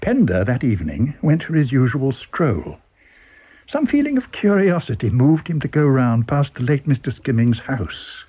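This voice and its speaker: British male narrator, scripted story reading, husky, highly varied pitch, slightly muffled radio sound. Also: sing-song, moderate pace. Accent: British English. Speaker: audiobook reader.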